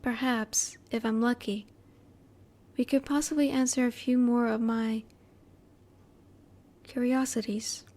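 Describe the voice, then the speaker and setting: Soft, formal alien scientist voiced by a woman. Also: on the high side, fairly even intonation. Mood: quietly pleased. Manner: formal, measured, precise wording with halting pauses. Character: curious, reserved female alien scientist, polite and logical. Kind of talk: scripted roleplay audio, spoken intimately to the listener.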